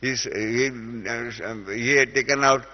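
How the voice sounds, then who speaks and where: A man's voice: medium pitch, steady tone, slow. A middle-aged man with an Indian accent, teaching a spiritual class and explaining through everyday examples, with many hesitant "uh" fillers. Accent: Indian English. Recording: dull lo-fi audio with long reverb in a hall.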